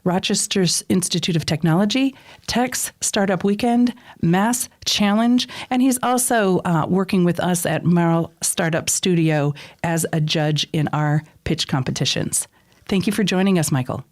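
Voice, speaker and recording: A woman with a slightly low voice speaks as an interview host. She presents a guest and puts questions in a conversational setting. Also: normal speed, a little mellow.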